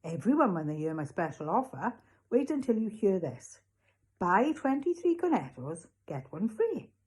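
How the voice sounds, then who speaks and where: A woman voicing an eccentric, eager male newsagent in a storybook, deliberate and full of salesman's enthusiasm. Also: mid-range voice, big pitch swings, darkish tone, lightly acted. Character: eccentric, eager male newsagent pushing odd special offers. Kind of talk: storybook character voice.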